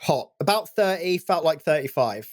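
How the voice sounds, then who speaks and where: A man's voice: on the high side, expressive, normal speed, no room sound, clean studio sound. A man co-hosting a podcast, telling stories casually with a slightly scratchy throat from a cold. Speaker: podcast co-host.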